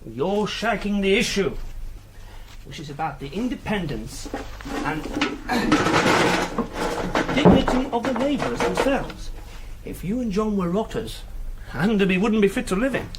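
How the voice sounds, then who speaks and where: An actor playing an earnest, argumentative young socialist intellectual, articulate and declamatory, in a period radio drama. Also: male voice, sits high, sing-song, unhurried, pauses often, heavy background noise. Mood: earnest, indignant. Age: young adult.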